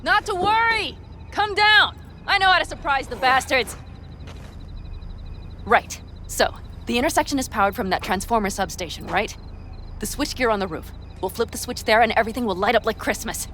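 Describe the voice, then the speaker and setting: A woman's voice: a little high, moderate pace, pushed and bright, slight background noise. An actress playing a hot-tempered, loyal young nomad woman, blunt and sweary, in naturalistic video game dialogue. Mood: eager, commanding.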